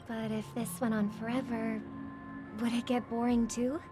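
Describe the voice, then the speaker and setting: A woman playing a gentle, thoughtful famous singer in a video game, speaking politely and with measured care. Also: fairly high pitch, little pitch movement, music in the background, close to an everyday voice. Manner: measured, polite, soft-spoken. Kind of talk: scripted game voice acting.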